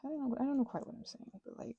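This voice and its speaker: A woman with a breathy, soft-edged voice rambling intimately and casually, halting and interrupting herself as she reflects. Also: sits high, fairly even intonation, no room sound, highs cut off. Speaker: craft vlogger and ASMR creator. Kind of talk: rambling, intimate casual chat while crafting.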